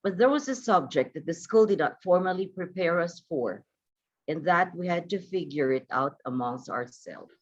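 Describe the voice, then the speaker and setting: A woman's voice: on the low side, animated, moderate pace. A woman reading a scripted, reflective narration over a video call. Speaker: reader of an opening narration. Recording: dull video-call audio.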